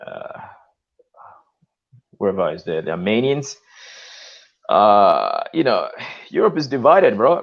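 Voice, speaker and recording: Male stream host, emphatic and repetitive, mid-range voice, muffled lo-fi audio. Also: mid-range voice. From lives in Australia. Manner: emphatic, repeats "okay" and "you know". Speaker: channel host. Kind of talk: live-stream call discussion.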